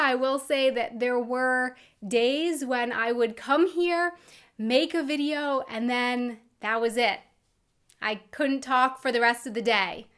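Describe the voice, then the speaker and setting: A young woman from the United States with an American accent, chatting casually to camera as a vlogger, expressive and quick to laugh. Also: high-pitched, clean tone. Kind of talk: casual vlog talk to camera.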